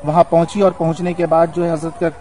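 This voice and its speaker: A man with a slightly high voice tells religious history like a guide on location. His manner is earnest and reverent, with formal phrasing. He is recorded outdoors, with some ambient noise around him. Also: little pitch movement, fast, mellow. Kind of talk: devotional storytelling on location. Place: outdoors on a hilltop at a shrine.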